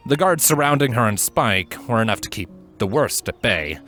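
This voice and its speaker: A man reading a story aloud as narrator, in an expressive, measured, dramatic storytelling style. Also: on the low side. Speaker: fan fiction narrator. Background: background music.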